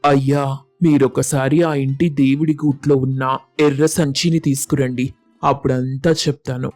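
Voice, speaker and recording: A man acting a humble, honest young villager in a moral storybook, speaking in a measured, respectful, pleading way. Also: medium pitch, flat delivery, a little mellow, no room sound, soft background music, close to an everyday voice. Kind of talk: acted moral storybook dialogue.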